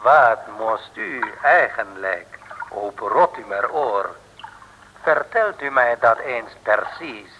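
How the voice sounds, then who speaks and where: An actor playing a pompous, coldly threatening Russian general and commissar in an old radio drama, speaking formally. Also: male voice, medium pitch, lively intonation, slow, lo-fi sound. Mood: stern interrogating. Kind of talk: 1950s radio drama acting. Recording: old radio recording, reverberant, hissy.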